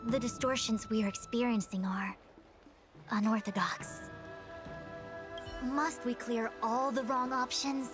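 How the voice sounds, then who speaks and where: A woman's voice: a little high, steady tone, natural voice. A woman voicing a composed, authoritative seer in a video game, speaking formally and exactingly. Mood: cool, formal. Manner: formal, measured, imperious. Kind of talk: game voice acting. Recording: game music behind.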